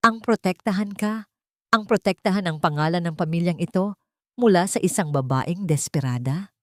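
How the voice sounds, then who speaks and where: A woman's voice: fairly low pitch, normal speed, dry recording, studio quality, lightly acted. A woman voicing a wealthy older matriarch who is cold, controlled and cutting.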